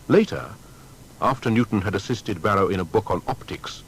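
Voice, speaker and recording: A man narrating a scripted documentary biography in a formal, measured storytelling manner. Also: on the low side, animated, husky.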